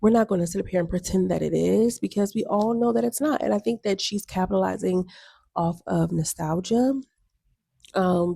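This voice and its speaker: A woman's voice: on the low side, creaky, warm tone, husky. A woman in her thirties giving blunt, sassy music commentary for her video channel, with lots of ums and asides. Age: 30s. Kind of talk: casual opinion commentary.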